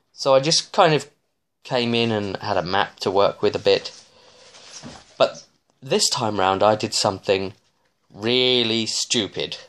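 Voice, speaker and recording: A man in his thirties giving a casual, rambling recap as a game master, with halting, digressive phrasing and frequent restarts. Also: medium pitch, a bit bright. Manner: halting, digressive, often restarting mid-sentence. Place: small living room, indoors.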